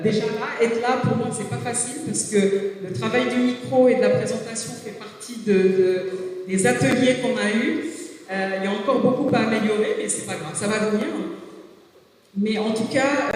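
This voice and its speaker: A woman in her forties, a native Swahili speaker, giving a halting talk as a career coach, hesitating often and restarting her sentences. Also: fairly high pitch, a little mellow. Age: 40s. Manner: hesitant, many filler sounds and restarts. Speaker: business coach speaking at a jobs fair. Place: large gymnasium hall. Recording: echoey gym hall from far off.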